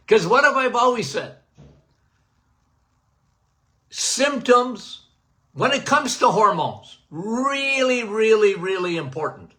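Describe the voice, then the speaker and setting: An older man, a doctor hosting a podcast, giving a casual and emphatic health talk, punctuated by "okay?" and self-described as loud-voiced. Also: high-pitched, slow pace. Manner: animated, emphatic, repeats "okay?"; says he has a loud voice.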